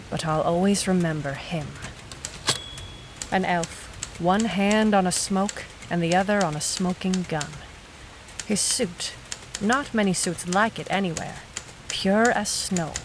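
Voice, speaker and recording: A woman's voice: fairly low pitch, background noise, natural voice. An actress playing a hardboiled young woman private eye, wry and businesslike, in a noir audio drama. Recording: music bed under the voice. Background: music.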